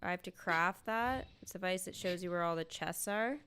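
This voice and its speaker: A woman's voice: lowish voice, brisk, a bit bright, a little hiss or hum. A woman streamer chatting casually and thinking aloud while she plays a game live.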